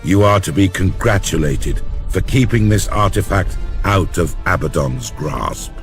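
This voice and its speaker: An actor playing a solemn Inquisitor of a sci-fi empire, speaking in a formal, measured way for a video game. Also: male voice, sits low, lively intonation, soft background music. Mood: solemn, approving. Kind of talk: dramatic video game voice acting.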